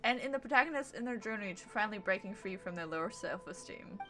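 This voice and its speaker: A woman's voice: medium pitch, lively intonation, quick pace, fairly bright tone. A woman game streamer, a non-native English speaker, reading dialogue aloud and commenting playfully. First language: not English. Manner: playful, self-interrupting. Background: video game music.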